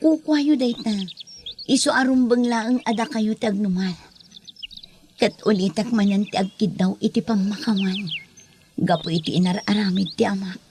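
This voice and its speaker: A woman plays a kind young woman in an audio drama. She sounds mellow and respectful, gently reassuring, with faint noise and birdsong in the background. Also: medium pitch, moderate pace, close to an everyday voice. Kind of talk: amateur Ilocano audio drama acting.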